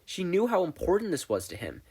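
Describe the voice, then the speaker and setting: Animated man narrating a story. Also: sits high, brisk, husky. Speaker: audiobook narrator. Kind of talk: expressive scripted story narration.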